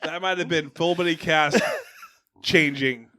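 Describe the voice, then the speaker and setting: A man's voice: a little high, fairly even intonation, unhurried, pushed and bright. A man co-hosting a casual podcast among friends in a garage, joking and teasing, reading out listener names. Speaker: podcast co-host.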